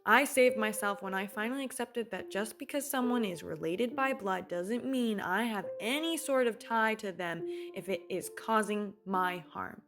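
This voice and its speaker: A woman playing a warm, candid, resilient survivor in a radio play. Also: medium pitch, natural voice.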